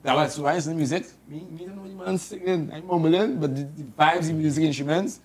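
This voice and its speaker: A man's voice: a little high, brisk, husky. A Trinidadian man, a rap artist guest, talking casually and rambling about music. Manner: rambling, repeats himself. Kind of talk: casual chat.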